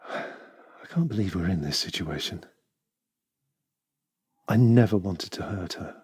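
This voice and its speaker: An actor playing a hesitant, regretful man in an audio drama, with a husky voice and halting, emotional delivery. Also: low voice, animated, darkish tone, no echo, soft background music, full character voice. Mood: wistful, regretful. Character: hesitant, regretful man torn between two women.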